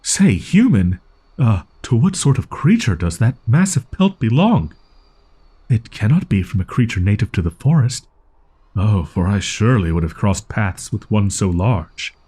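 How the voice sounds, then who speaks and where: A man's voice: on the low side, sing-song, measured pace, warm tone, slightly rough, heavily acted. A man voicing a proud, easily flustered young wolf-boy in an audio roleplay, speaking in haughty, archaic, formal phrasing. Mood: startled curiosity.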